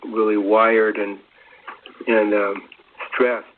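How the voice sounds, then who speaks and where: A man giving unscripted conversational commentary as a co-presenter, halting and groping for words. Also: on the low side, little pitch movement, a little hiss or hum. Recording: narrow phone-like sound.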